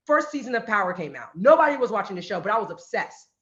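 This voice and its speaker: A woman on a panel who works in radio and podcasting, telling a lively story and acting out quotes. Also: medium pitch, fast talker, echoey room, highs cut off. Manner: animated storytelling, acts out quotes. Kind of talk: panel talk, personal story.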